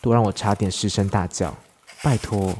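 A man's voice: fairly low pitch, steady tone, moderate pace, a little mellow, slight background noise. A man reading a personal essay as scripted literary narration.

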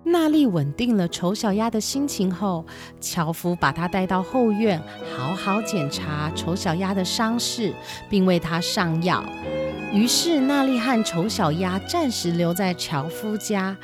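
A woman's voice: mid-range voice, animated, measured pace. A woman reading scripted narration for a children's storybook tale. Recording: music behind the voice.

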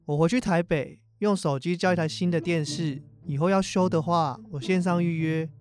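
A man's voice: a little high, normal speed, darkish tone, natural voice. A young man with a Taiwanese accent playing an impatient college student grandson in an everyday radio drama, speaking casually and expressively. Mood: pleading, exasperated. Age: young adult. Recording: music bed behind the voice. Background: music.

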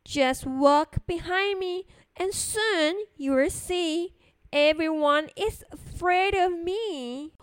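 Woman as a high-voiced, cocky little storybook mouse. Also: slow, dry recording. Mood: boastful. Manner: cocky, expressive. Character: small clever mouse, boastful and bold. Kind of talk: storybook character voice.

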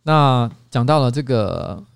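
A man's voice: medium pitch, takes it slowly, gravelly, smooth, no room sound. A man in his forties with a Taiwanese accent hosting a podcast in a casual, jokey storytelling manner. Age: 40s. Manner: animated, jokey storytelling with frequent laughter. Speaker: podcast host. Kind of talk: casual comedic podcast chat.

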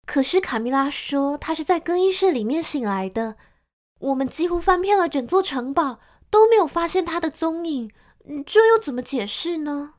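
Woman acting high-voiced anxious young noblewoman. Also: lively intonation, normal speed. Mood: doubtful. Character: anxious, frail young noblewoman, a daughter narrating. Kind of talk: audio drama acting and first-person narration.

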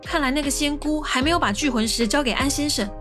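A woman playing an excitable, impatient young sleuth in an audio drama, with a brash, colloquial, expressive delivery. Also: sits high, quick pace, music in the background, close to an everyday voice. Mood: curious.

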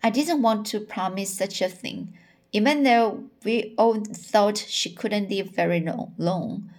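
A woman acts out a long-suffering middle-aged suitor from a storybook. The pleading is anguished and halting, delivered slowly. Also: mid-range voice, a little mellow, lightly acted. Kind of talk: storybook reading.

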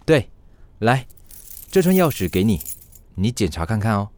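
A man's voice: lowish voice, sing-song, normal speed, darkish tone, no echo. A man acting a polite, helpful everyday acquaintance in a modern radio drama. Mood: polite, friendly. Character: polite, helpful male acquaintance handing over keys.

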